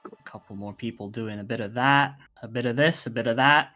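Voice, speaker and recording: A man's voice: medium pitch, brisk, sharp tone. A man running a tabletop game casually as game master. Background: music.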